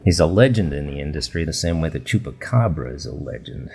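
A man playing a weary research manager in a radio documentary interview, deadpan and dry. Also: a bass voice, a little fast, vocal fry, slightly rough, heavily acted. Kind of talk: documentary interview in audio fiction.

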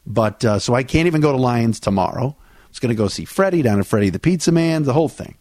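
A man talks through a solo sports podcast. His voice sits in the middle range and sounds dry and close to the mic. He is easygoing and chatty, often pausing on "uh" while his voice recovers from surgery. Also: medium pitch, animated, darkish tone, dry recording. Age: 40s. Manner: chatty, conversational, with frequent "uh" fillers. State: recovering from vocal cord surgery. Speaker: podcast host.

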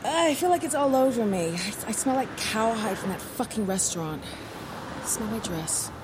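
An actress playing a blunt, free-spirited young heiress in a screen drama, talking in a wry, unguarded way. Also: female voice, on the high side, animated, moderate pace, noisy background, natural voice. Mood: restless, frank. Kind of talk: naturalistic screen drama acting.

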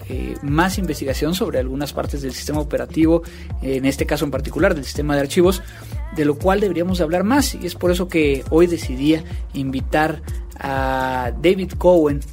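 A man's voice: a little high, normal speed, a little mellow, faint music behind. A man hosting an interview podcast in a conversational style.

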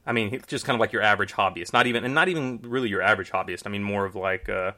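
A man's voice: lowish voice, racing, sharp tone. A young man with a Texan accent, a magician guest chatting casually in an interview, rambling with many fillers and restarts. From Texas, USA.

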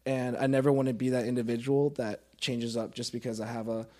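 A man's voice: medium pitch, little pitch movement, a little fast, warm tone. Earnest young man in casual conversation. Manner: earnest, informal, swears freely. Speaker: podcast guest.

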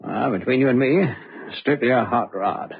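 An American actor playing a blunt, jaded newspaper crime editor, brisk and slangy, in old-time radio drama style. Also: male voice, mid-range voice, gravelly, husky. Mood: blunt, confiding. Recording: muffled, hissy old radio recording.

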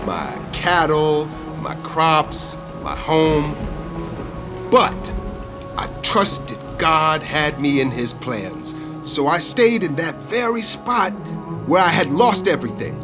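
A man's voice: fairly high pitch, expressive, takes it slowly, over music. An actor playing Otis, a cheerful, talkative man who calls himself a prophet, in an audio drama. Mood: storytelling. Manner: upbeat, chatty, storytelling. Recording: long hall reverb.

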